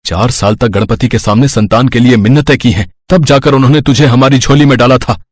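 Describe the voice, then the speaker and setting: A man's voice: medium pitch, brisk, lightly acted. A man voicing a stern, scolding father. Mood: angry scolding. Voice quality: scolding, pleading. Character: stern worried father, middle-aged man. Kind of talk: Hindi storybook voice acting.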